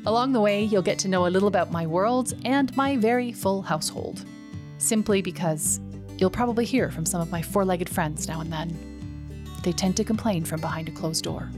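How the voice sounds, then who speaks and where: A woman's voice: medium pitch, normal speed. Brief: middle-aged woman, slight Canadian accent, podcast host, enthusiastic, emphatic, faint music underneath. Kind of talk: scripted solo podcast monologue.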